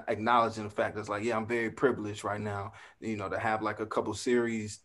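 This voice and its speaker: A man in his thirties talks easily and at length, leaning on fillers and asides. His voice sits a little low. He comes through a video call from a room at home. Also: a bit bright. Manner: laid-back, talks freely with fillers and asides. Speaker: actor guest. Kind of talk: casual video call chat.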